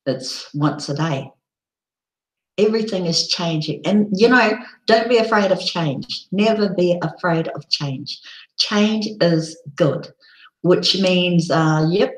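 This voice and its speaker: A woman with a croaky, hoarse voice, hosting a casual live broadcast and rambling with many ums. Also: lowish voice, slow pace, reverberant, low-quality recording. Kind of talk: casual live-stream chat.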